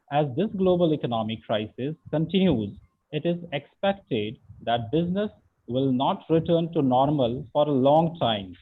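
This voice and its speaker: A man hosting a webinar, reading a formal welcome speech with an occasional filler. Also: on the high side. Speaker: webinar host. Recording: tinny phone-like line.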